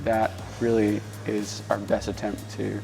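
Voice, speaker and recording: A man who works as a performance coach, speaking in a measured, explanatory documentary interview. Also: on the low side, completely flat delivery, vocal fry, a little mellow, soft background music.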